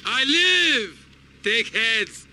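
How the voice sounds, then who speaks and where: An actor playing a hot-tempered, arrogant little drug lord with a Latin American accent, explosive and imperious, in a video game. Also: male voice, very high-pitched, lively intonation, very slow, sharp tone, slight background noise, exaggerated voice. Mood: furious. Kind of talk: video game cutscene acting.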